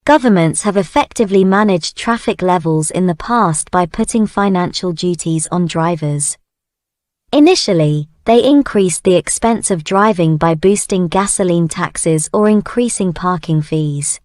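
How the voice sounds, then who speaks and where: A woman reads a prepared passage aloud as an exam practice sample. Her voice is slightly low for a woman, and it is recorded dry, without echo. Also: measured pace, a little mellow. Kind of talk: scripted read-aloud passage.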